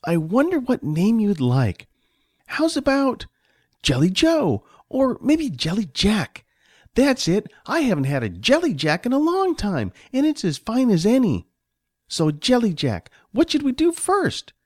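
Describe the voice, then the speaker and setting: A man voicing an excitable, sweet little boy in a storybook, with an eager, squealing manner. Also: high-pitched, measured pace, no room sound, studio quality, pitched above the performer's own voice, heavily acted. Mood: playful.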